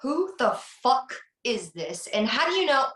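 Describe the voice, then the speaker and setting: A woman's voice: sits high, big pitch swings, a bit bright, exaggerated voice. Woman acting frightened slasher victim, echoey room. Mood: alarmed. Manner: pleading, halting. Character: frightened woman, film star, threatened by a killer. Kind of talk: slasher film table read. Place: indoor room on a video call. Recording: roomy, echoing video call audio.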